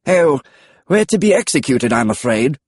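A man's voice: on the high side, sing-song, normal speed, husky, dry recording, pitched above the performer's own voice. A man voicing a polite, scholarly pterodactyl with a British accent and a prim manner for a storybook. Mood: politely pleading. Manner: courteous, prim. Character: polite, scholarly male pterodactyl, mildly put out.

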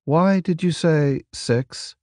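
A man voicing a dry, defiant captive female soldier in an audiobook, with a deadpan, sardonic manner. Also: fairly high pitch, slow pace, dry recording, pitched above the performer's own voice. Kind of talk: audiobook character dialogue.

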